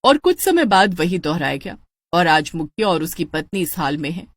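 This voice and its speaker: A woman narrates a scripted cartoon story. Her pitch is lively and varied, and the recording is close and dry. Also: normal speed, no room sound.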